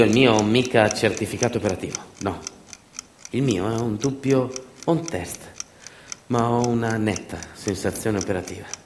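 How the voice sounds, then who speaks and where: A man performing a theatrical narrative monologue, emphatic and dramatic, with deliberate repetitions and pauses. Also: low-pitched, a little mellow, a little hiss or hum. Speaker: stage actor and storyteller. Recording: echoey room.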